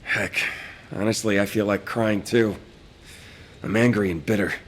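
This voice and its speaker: A man's voice: fairly low pitch, fairly even intonation, a little slow. An actor playing a scheming, wisecracking young male fighter in a video game cutscene. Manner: joking, scheming.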